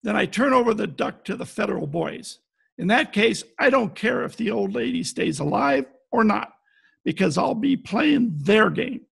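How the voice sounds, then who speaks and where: Casting: male actor as cynical private eye, high-set voice full of gravel, wry and tough, old radio play over a video call. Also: normal speed, exaggerated voice. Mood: cold, hard bargaining. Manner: wry, deadpan, tough-talking. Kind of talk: 1940s detective radio acting, narration and dialogue. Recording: video call recording with faint music behind.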